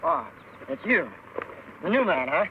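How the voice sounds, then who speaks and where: Wary, high-pitched western outlaw henchman. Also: sing-song, unhurried, vocal fry, exaggerated voice. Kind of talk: old-time western radio acting. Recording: tinny, very noisy old radio recording.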